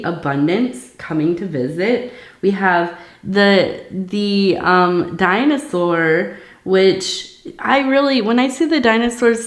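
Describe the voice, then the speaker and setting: A woman reading tea leaves in a soft-spoken ASMR style, hoarse from losing her voice, rambling with many fillers and a dry mouth. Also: medium pitch, roomy sound. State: losing her voice, sore throat. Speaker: tea leaf reader.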